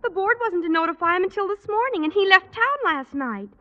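A woman's voice: very high voice, expressive, brisk. An actress playing an eager, anxious teenage daughter in a 1940s-style radio comedy, girlish in manner. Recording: echoey, dull old radio broadcast.

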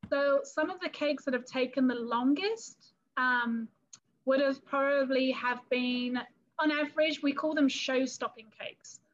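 A bright, high-pitched woman with a London-area English accent talks in an easy, chatty way and keeps acting out little quoted scenes. The recording is a bit muffled and dull. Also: unhurried. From England, London area. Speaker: cake artist and business owner, guest. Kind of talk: casual interview talk.

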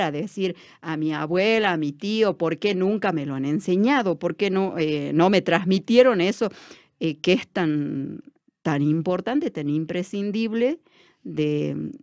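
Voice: mid-range voice, no room sound, clean studio sound. A person with an Argentine Spanish accent, speaking as an interview guest in a reflective way, with many fillers and restarts.

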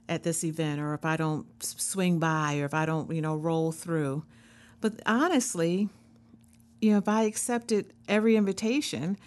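Woman in her 50s, therapist vibe, lowish voice, chatty and earnest, lots of "you know"s. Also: a little mellow. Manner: conversational, frequent "you know" asides, earnest. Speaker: therapist and podcast host. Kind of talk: reflective solo talk.

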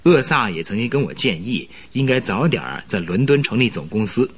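An actor playing an energetic, domineering businessman in a radio drama, talking in a brisk, commanding, talkative way. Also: fairly low pitch, husky. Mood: brisk, welcoming.

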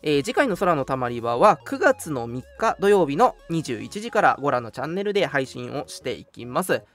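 A young man hosting a casual live radio chat, talking politely and chattily with soft hedges between announcements. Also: on the high side, very animated, moderate pace, soft background music. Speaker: radio show host.

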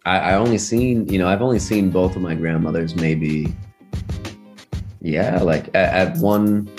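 A man's voice: sits low, a little slow, darkish tone, soft background music. A young American man telling personal stories in a casual, reflective way, often dropping in filler phrases like "you know". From United States. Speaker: podcast guest. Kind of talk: casual conversational storytelling.

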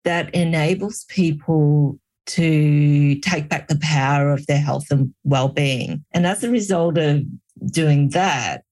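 A woman's voice: low voice, slow pace, a little mellow. A middle-aged woman, a healer and guest, telling her personal story in an earnest, reflective way during an interview.

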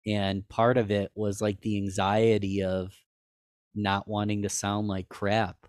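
A man's voice: monotone, a little slow, a little mellow, smooth, dry recording, studio quality. A man guest on a podcast, talking candidly and self-deprecatingly in casual chat, rambling with restarts. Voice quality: higher-pitched for a man.

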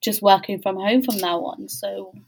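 A woman with a medium-pitched voice hosts a podcast interview. She speaks casually and informally, with halting phrasing as she works through her thoughts and questions. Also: vocal fry, slight background noise, low-quality recording. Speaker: podcast host.

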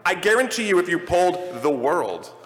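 A man speaking informally and emphatically as a panelist in an animated live discussion about games and films. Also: high-pitched, normal speed. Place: large indoor convention panel room. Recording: echoey large hall, live panel sound.

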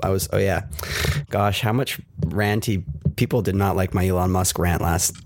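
A man's voice: deep voice, steady tone, normal speed, a little mellow. A man co-hosting a casual tech podcast, rambling and ranty, swearing now and then. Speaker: podcast co-host. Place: New York. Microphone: close mic with pop filter.

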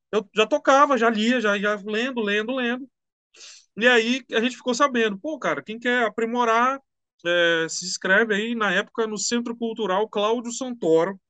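Chatty high-voiced man. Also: clean tone, no room sound. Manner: relaxed, slangy, chatty. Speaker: musician guest. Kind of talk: casual interview storytelling.

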